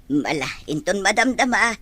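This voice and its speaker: A woman playing a fond older mother in an audio drama, husky, with an Ilocano accent. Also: medium pitch, big pitch swings, normal speed, pushed and bright, full character voice.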